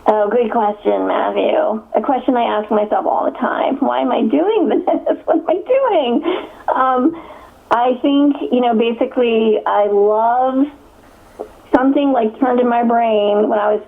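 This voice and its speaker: A woman's voice: fairly high pitch, measured pace, vocal fry. Chatty woman on a tinny phone line. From Connecticut, USA. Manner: chatty, many fillers and restarts, laughs often. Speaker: author guest. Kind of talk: casual interview answers.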